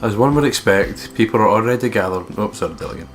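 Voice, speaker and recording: A man's voice: on the low side, fast, faint music behind. A man co-hosting a game playthrough, casually commenting and reading story text aloud, with a joking, sweary manner. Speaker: let's play co-host.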